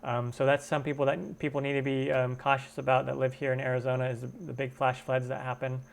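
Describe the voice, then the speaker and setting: A man's voice: medium pitch, level tone, fast, vocal fry. Chatty man on a live stream. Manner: chatty, greets viewers and answers chat comments. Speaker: cooking channel host. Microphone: computer webcam microphone.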